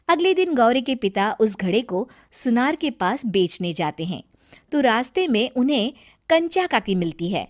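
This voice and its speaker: A woman narrator reading a scripted children's story as storybook narration. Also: on the high side.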